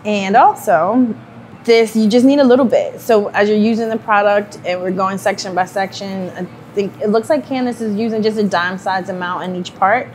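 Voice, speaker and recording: A woman's voice: mid-range voice, normal speed, a little mellow, slight background noise. A woman presenter explaining hair products and chatting with a stylist, friendly and talkative with frequent "um"s. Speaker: brand presenter. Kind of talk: casual chat and product explanation.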